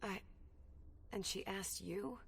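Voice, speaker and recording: A woman's voice: mid-range voice, takes it slowly, creaky, noisy background. An actress playing an anxious, frightened subordinate woman in a video game cutscene, nervous and deferential. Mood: scared. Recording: music behind.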